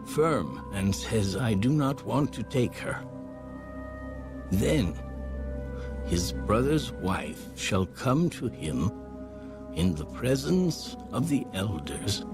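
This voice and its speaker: A man narrating scripture from a script, solemn and deliberate, with measured phrasing. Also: lowish voice, big pitch swings. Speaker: audio Bible narrator. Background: background music.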